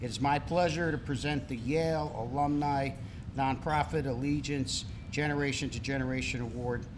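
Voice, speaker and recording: A man who is a board member, reading a measured, prepared introduction before presenting an award at a gala. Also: medium pitch, steady tone, noisy background. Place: gala banquet hall.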